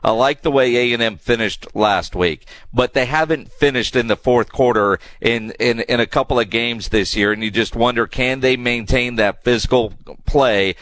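A man's voice: mid-range voice, normal speed, few pauses, fairly bright tone, no room sound. Male sports radio host, dry close sound. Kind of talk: conversational sports talk interview.

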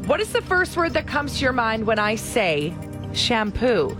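A woman's voice: on the high side, big pitch swings, unhurried, music in the background. A woman co-hosting a morning radio show, teasing her co-host and cheering on a caller during a game. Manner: encouraging, playful teasing.

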